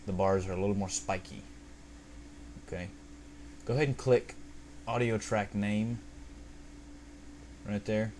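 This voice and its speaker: A man with a somewhat low voice narrates a software tutorial step by step. His delivery is informal and unscripted, explaining things casually as he goes. The amateur home recording carries a faint, steady fan-like noise behind him. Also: measured pace, pauses often. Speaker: video tutorial presenter.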